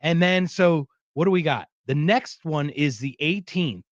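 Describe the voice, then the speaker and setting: A man hosting a podcast, talking in a loose, rambling way with frequent restarts. His voice sits a little high for a man. The recording sounds dry and slightly dull. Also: lively intonation, measured pace, dry recording. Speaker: podcast host. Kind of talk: casual chat.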